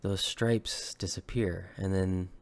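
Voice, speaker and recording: A slightly sick man in his thirties, from Hawaii, talks low and unhurried through his collection of handmade pieces. He fills gaps with ums and uhs and often stops to correct himself. The delivery stays casual, like a home show-and-tell video. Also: fairly even intonation, gravelly. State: slightly sick, recovering from illness. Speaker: woodworker and weapon maker.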